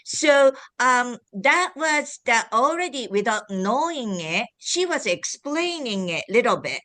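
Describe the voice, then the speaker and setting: Woman, Japanese accent, bright tone, halting speech, many restarts, interview guest. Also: fairly high pitch, big pitch swings. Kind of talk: casual interview talk.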